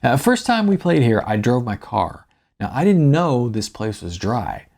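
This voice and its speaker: A man acting out a folksy, gravelly, hearty stout guitarist. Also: mid-range voice, sing-song, moderate pace, a little mellow. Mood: frank, conspiratorial. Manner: folksy, colloquial. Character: hearty, stout, folksy middle-aged guitarist, chummy and conspiratorial.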